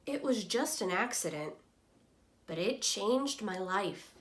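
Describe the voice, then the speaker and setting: A woman voicing an earnest, timid but persevering storybook egg, telling his own story expressively. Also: medium pitch. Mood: wistful. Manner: slow, expressive first-person storybook telling.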